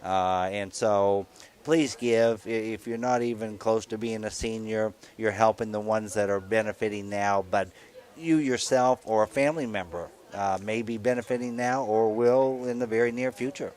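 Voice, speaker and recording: An older man in his sixties with a lowish voice chats on a live radio telethon. He talks in a halting, rambling way, full of fillers and restarts, while he co-hosts. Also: fairly even intonation, a little mellow. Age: 60s. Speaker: telethon co-host.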